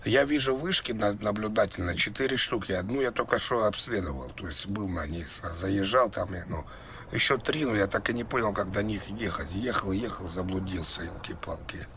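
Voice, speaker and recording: Rambling low-voiced stranded driver played by a man. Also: moderate pace, fairly bright tone, slight background noise. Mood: rambling, worried. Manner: rambling, repetitive, hesitant. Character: rambling confused stranded male driver, a father. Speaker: prank caller, game streamer. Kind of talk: prank phone call.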